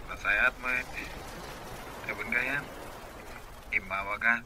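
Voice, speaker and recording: A man with a northern Philippine accent plays a friendly, low-key young suitor in radio comedy drama, casual and inviting. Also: steady tone, measured pace, close to an everyday voice. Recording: noisy background.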